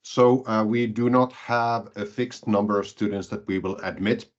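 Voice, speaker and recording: A man's voice: on the low side, steady tone, moderate pace. A man representing a study programme, answering questions informally on a video call. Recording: dull, muffled online call audio.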